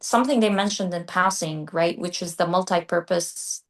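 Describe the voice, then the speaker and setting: A woman who grew up in Qatar, co-hosting a podcast and discussing ideas in a thoughtful, measured way. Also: lowish voice. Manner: thoughtful, measured, restarts mid-phrase. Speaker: podcast co-host. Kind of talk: casual discussion.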